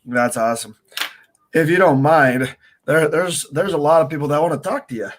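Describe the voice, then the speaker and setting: A man's voice: on the high side, normal speed. A man co-hosting a casual livestream chat with teasing, light-hearted banter. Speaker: co-host.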